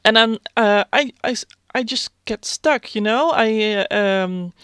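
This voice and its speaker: Self-conscious Dutch woman in her thirties, halting casual vlog voiceover, clean dry read. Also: fairly high pitch, smooth, no echo. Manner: hesitant, many fillers and restarts; self-conscious, soft-spoken. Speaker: YouTube Sims builder. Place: home.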